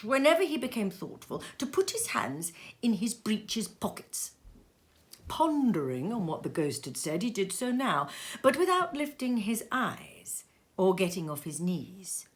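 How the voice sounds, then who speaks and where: A woman reading a classic story aloud in an expressive storybook narration, recorded in a sitting room. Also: mid-range voice. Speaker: story reader.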